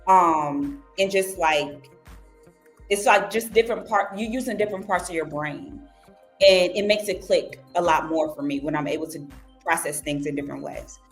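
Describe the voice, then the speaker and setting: A woman's voice: on the low side, normal speed, faint music behind. A young woman guest on a casual podcast, talking animatedly and acting out her own self-talk. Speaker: guest journalist.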